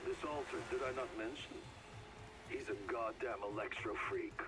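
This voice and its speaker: An actor playing a gruff, plain-spoken war comrade in a video game, blunt and colloquial. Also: male voice, medium pitch, moderate pace, recorded from a distance, close to an everyday voice. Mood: blunt, urgent. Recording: clear game noise behind.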